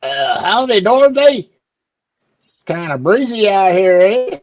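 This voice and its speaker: A high, very slow voice from a man acting as an old farm neighbour in a radio comedy. He is folksy, laconic and unbothered, on a slightly muffled recording. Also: sing-song, full character voice. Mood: cheerfully casual.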